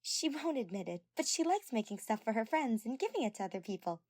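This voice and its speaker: A woman's voice: fairly high pitch, very animated, fast, darkish tone, pitched above the performer's own voice. A woman voicing a sweet, friendly teenage girl in an audio story, playful and teasing. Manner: playful, sing-song teasing.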